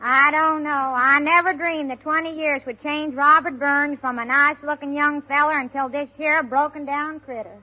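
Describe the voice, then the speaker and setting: A woman's voice: sits high, steady tone, normal speed, hardly any pauses, natural voice. A woman playing a brash, blunt backwoods spinster with a rural Southern accent in 1940s radio comedy. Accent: rural Southern American. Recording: muffled vintage 1940s radio broadcast.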